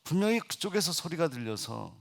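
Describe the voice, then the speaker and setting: Korean pastor preaching with rising fervor. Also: male voice, on the high side, very animated, fairly quick, gravelly. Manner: many hesitation fillers, rising to loud emphatic preaching. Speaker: senior pastor. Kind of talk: Sunday sermon.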